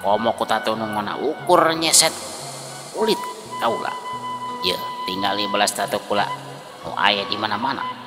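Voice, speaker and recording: A man voicing a fearless, boastful, battle-scarred brawler in a folk tale, loud and taunting, with a Sundanese accent. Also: on the low side, huge pitch swings, normal speed, long pauses. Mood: challenging. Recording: long reverb, music behind.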